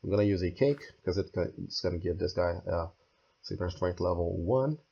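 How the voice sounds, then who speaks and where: A hoarse man who is losing his voice, walking through a game build step by step and thinking aloud as he goes. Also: deep voice, brisk, mellow, dull audio. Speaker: game tutorial creator. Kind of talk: screen-recorded building tutorial.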